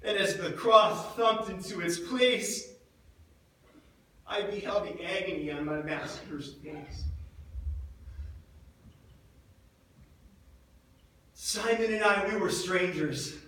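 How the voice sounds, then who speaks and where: An actor playing a grief-stricken, guilt-ridden disciple of Jesus in a theatrical monologue, speaking in a halting, emotive way. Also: male voice, high-pitched, pushed and bright. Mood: mournful. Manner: halting, anguished, emotive delivery with repeated words. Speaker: actor in a church dramatic monologue. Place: large echoing church indoors. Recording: echoey church, far from the mic.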